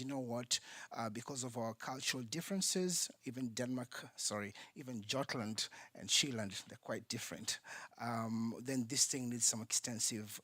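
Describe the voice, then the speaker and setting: A man from Kenya, a theatre company founder telling his story on a conference panel, lively and full of ums. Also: mid-range voice, dry recording. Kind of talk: panel talk, personal story. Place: conference centre.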